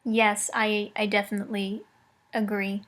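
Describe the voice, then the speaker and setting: A woman's voice: medium pitch, little pitch movement, a little slow, fairly bright tone. A woman making a prank phone call, talking in a mock-serious, teasing way. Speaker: prank caller.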